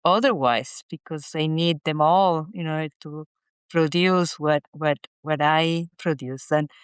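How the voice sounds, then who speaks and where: A middle-aged woman from Chile, a guest speaking haltingly with many restarts and repeated words in a casual interview. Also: deep voice, clear, resonant tone, dry recording. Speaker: guest, inventor.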